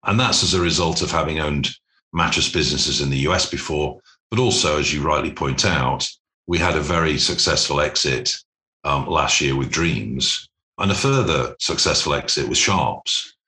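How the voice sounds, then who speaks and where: Very deep-voiced man in a roomy space. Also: normal speed, bright, forceful tone. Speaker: private equity executive being interviewed. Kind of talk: business interview, explanatory. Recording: roomy, echoing sound.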